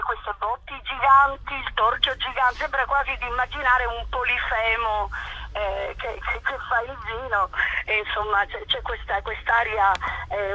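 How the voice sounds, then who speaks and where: A woman being interviewed over the telephone as an artistic guest, speaking off the cuff and often pausing and repeating words as she searches for the next one. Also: fairly high pitch, pushed and bright, husky, lo-fi sound. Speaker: festival director, actress, interview guest. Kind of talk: unscripted radio interview.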